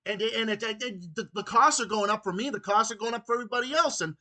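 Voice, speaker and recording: A man's voice: sits high, fast, pushed and bright. A man in his late twenties talking politics in an emphatic, repetitive way, stammering over his words. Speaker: political ranting show host. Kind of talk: political phone conversation.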